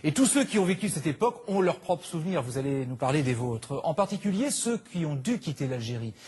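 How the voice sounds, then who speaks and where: A man anchoring a news program, presenting a report and interviewing a guest smoothly. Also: on the high side, sing-song, fairly quick, darkish tone. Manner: smooth presenting, brief hums while listening. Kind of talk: studio interview.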